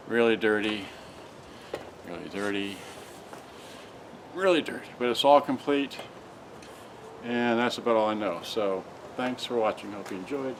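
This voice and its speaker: Man muttering in a noisy, roomy recording. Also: mid-range voice. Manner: rambling, muttering to himself as he works. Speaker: vlogger showing vintage electronics. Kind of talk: casual unscripted unboxing demonstration. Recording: roomy, distant mic, loud background noise.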